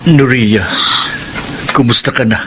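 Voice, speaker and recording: A man playing a remorseful father in a radio drama, speaking with a Filipino accent in a solemn, pleading, halting way. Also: medium pitch, close to an everyday voice, rough recording. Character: remorseful father returning after faking his death. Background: clear music.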